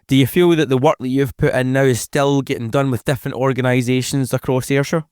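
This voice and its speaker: A man's voice: mid-range voice, steady tone, a little mellow, clear, resonant tone, dry recording, studio quality. A man hosting a local radio interview, upbeat and encouraging. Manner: upbeat and encouraging, with a quick stock of praise. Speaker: radio show host.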